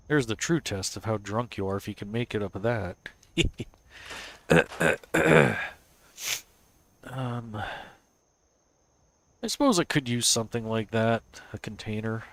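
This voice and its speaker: A man's voice: lowish voice, fairly bright tone. A man with a cold, stuffy and sniffly, casually thinking aloud as he builds in a game on a live stream. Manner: thinks aloud, muttering to himself and chuckling.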